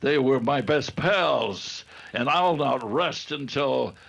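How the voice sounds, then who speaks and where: A man playing a scheming, vengeful gang leader in an old-time radio adventure, with halting, deliberate speech. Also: medium pitch, animated, vocal fry, fairly bright tone, dull audio, exaggerated voice. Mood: vengeful, boastful.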